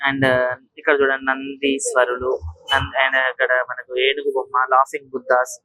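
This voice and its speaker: A man's voice: medium pitch, monotone, moderate pace, bright, forceful tone, no echo, faint music behind, low-quality recording. A man giving a casual walk-through vlog commentary, explaining things directly to viewers as he goes. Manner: explanatory, repetitive filler tags, addressing viewers directly. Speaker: vlogger, market tour host.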